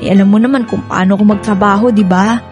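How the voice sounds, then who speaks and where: An actress playing a gentle, reassuring young pregnant wife in a conversational, coaxing radio drama manner. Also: female voice, medium pitch, steady tone, fast talker, natural voice. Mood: happy, tender. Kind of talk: Filipino radio drama dialogue. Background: faint music.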